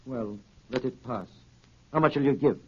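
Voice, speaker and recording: A man's voice: medium pitch, normal speed, lightly acted. A man acting a shifty, blustering, greedy blackmailer in 1930s radio drama, sneering and menacing. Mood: grudging, haggling. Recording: muffled, hissy, crackly 1930s radio broadcast.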